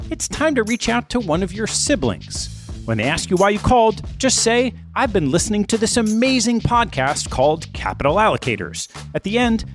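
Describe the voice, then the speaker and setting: A man hosting a podcast, reading a scripted intro and asking interview questions in an upbeat way. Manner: lively, upbeat delivery with big pitch swings. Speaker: podcast host.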